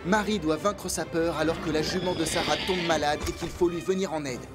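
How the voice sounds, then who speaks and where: High-pitched male announcer over loud music. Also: fairly even intonation, a little fast. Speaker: episode announcer. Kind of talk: scripted narration. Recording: loud music under narration.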